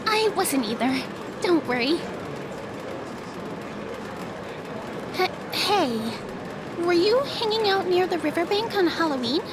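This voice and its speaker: A woman playing a friendly, awkward, excitable teenage schoolgirl in an audio drama with loud background ambience. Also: very high voice, normal speed, very noisy.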